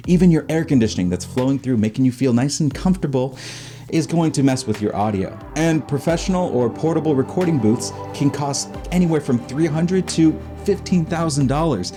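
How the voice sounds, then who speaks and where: A man's voice: a little high, animated, fairly quick, music in the background. A man hosting a DIY show, narrating a scripted tutorial in an upbeat, playful way with chatty asides. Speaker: host of a DIY creator show.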